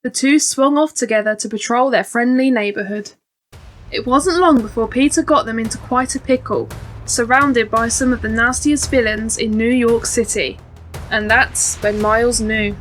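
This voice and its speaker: A woman's voice: on the high side, moderate pace. A woman reading a scripted children's storybook as an audiobook narrator. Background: faint background music.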